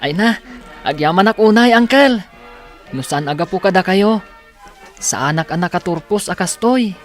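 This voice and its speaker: A man's voice: sits high, lively intonation, normal speed, soft background music. A man with an Ilocano accent playing an earnest, respectful teenage boy in a radio drama. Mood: grateful.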